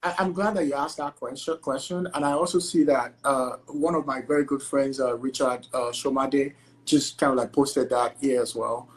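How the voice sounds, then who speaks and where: A man's voice: mid-range voice, normal speed, low-quality recording. Chatty Nigerian man with thick accent. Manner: animated, chatty, many fillers like "like" and "you know". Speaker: guest, entertainment lawyer. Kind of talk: casual interview conversation.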